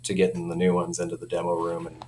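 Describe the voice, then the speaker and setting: A man speaking as a company representative in a casual product chat, informal and hedging, quick to chuckle. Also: deep voice, completely flat delivery, noisy background.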